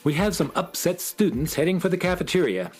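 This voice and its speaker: A man's voice: a little high, very animated, fairly quick, darkish tone, soft background music. A man playing an earnest, courteous male schoolteacher in a children's audio drama, speaking politely. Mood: polite, calm.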